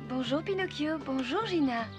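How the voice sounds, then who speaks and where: A high-voiced, kindly good fairy. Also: female voice, expressive, normal speed, music in the background. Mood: welcoming. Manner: gentle, formal, reassuring. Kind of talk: French cartoon dub acting.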